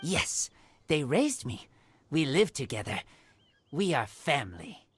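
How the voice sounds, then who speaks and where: Feral teen boy, low voice, slow halting broken phrases, earnest, guarded, video game character. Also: sing-song, a little hiss or hum, heavily acted. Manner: halting, terse broken sentences. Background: game music.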